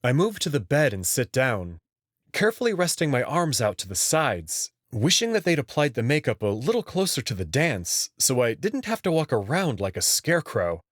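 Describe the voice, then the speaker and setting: A man reading a visual novel aloud in the first person, in an expressive, nervous way. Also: mid-range voice, moderate pace, no room sound, studio quality. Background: background music.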